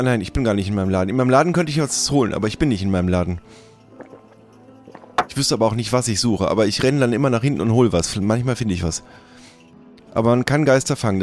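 A man's voice: fairly low pitch, natural voice. A man playing a flustered, forgetful shopkeeper in an improvised tabletop game, hesitant and repeating himself. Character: flustered, forgetful male shopkeeper drinking at a bar. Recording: music under the voice.

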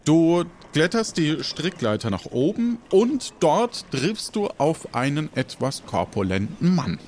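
A man's voice: fairly high pitch, big pitch swings, unhurried, a little mellow, slight background noise. A man narrating an improvised audio adventure as game master.

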